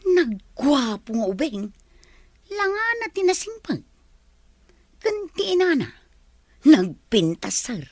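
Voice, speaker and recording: A woman's voice: high-pitched, slow pace, long pauses, dry recording, studio quality, heavily acted. A woman playing a curious, deferential older household helper in an audio drama. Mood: curious, admiring. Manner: curious, animated.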